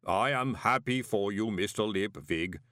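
A man voicing a huge male clay golem who speaks slowly in a booming, unshakably calm way. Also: dry recording, clean studio sound. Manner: slow, booming, infuriatingly calm.

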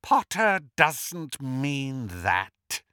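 A man voicing a furious, snake-like dark wizard villain in an audiobook, taunting and theatrical in his menace. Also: medium pitch, very animated, extremely slow, clear, resonant tone, no echo, clean studio sound, full character voice. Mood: menacing, mocking.

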